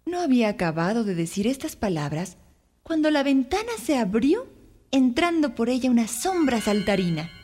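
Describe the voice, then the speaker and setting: A woman narrating a scripted children's story with expressive, careful phrasing. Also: a little high, normal speed, slightly rough.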